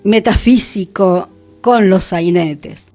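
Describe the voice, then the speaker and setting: A woman's voice: mid-range voice, slow pace, dark tone. A woman with an Argentine accent hosting a cultural radio talk, explaining things in a reflective, conversational way. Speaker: radio host. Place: radio studio. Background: music.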